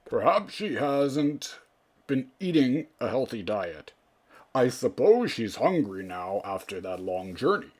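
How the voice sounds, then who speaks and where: An earnest beast father, voiced by a man muses helpfully in a storybook. The words come very slowly and stretched out, with swooping, animated pitch. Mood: musing, helpful. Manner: slow, drawn-out, musing.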